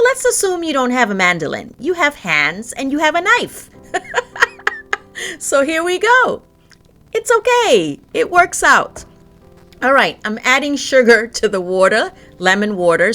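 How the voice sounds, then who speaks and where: A woman's voice: medium pitch, a bit bright. Chatty woman baking in her kitchen. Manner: chatty, laughs often. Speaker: vlogger. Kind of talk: casual baking tutorial vlog. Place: home kitchen.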